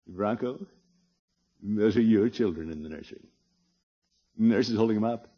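An actor playing a blustery, soft-hearted middle-aged grandfather in a 1950s radio comedy, theatrical and swinging from booming exclamations to low murmurs. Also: normal speed, husky. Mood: tender, hushed. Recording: muffled vintage radio recording.

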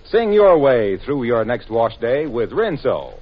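A man's voice: mid-range voice, very animated, a little slow, a crowd in the background. A man announcing in scripted 1940s radio style, narrating to the listener. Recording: dull 1940s radio recording.

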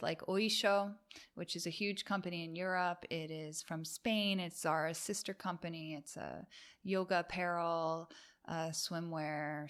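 A yoga and fitness instructor from the United States tells her story in a relaxed interview. She talks loosely, circling back with fillers and restarts as she goes. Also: lowish voice. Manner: chatty, with frequent fillers and restarts. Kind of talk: casual interview chat. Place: home, Miami.

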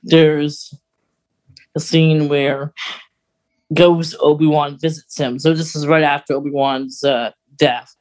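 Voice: medium pitch, flat delivery, slow pace, a little mellow. A podcast co-host talking in a casual, conversational way about fan lore. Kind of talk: casual chat about Star Wars lore.

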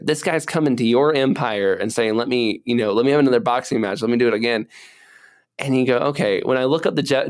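A man with a mid-pitched voice co-hosts a history podcast. He tells stories in a casual, colloquial way, slipping into imagined dialogue as he explains. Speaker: podcast co-host.

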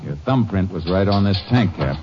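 Stern deep-voiced Texas Ranger. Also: male voice, expressive, normal speed, background noise. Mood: questioning. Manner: firm, clipped. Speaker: narrator and lead character. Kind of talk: 1940s radio drama acting. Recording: dull old radio recording with music.

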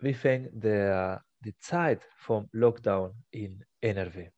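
A man hosting a language-learning podcast, speaking as a learner. He works through a mock exam talk, halting and searching for words. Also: on the low side, darkish tone, lo-fi sound. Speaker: podcast host.

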